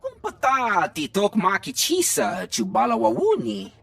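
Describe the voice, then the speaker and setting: A man's voice: sits high, sing-song, unhurried, a bit bright, no echo, exaggerated voice. A man voicing a menacing alien gang thug in a video game, speaking a guttural alien tongue. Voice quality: gravelly, husky, guttural. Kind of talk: video game character acting.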